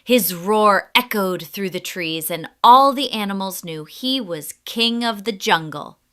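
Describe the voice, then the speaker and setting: Slow, animated woman telling a children's story. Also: mid-range voice. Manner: expressive, deliberate. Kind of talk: scripted children's story narration.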